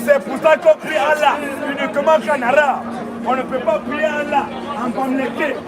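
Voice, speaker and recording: High pitched guy preaching outside, repeats lines, crowd noise behind. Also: flat delivery, moderate pace. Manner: declamatory, repetitive, call-and-response. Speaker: street preacher. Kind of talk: open-air sermon. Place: outdoors in a city.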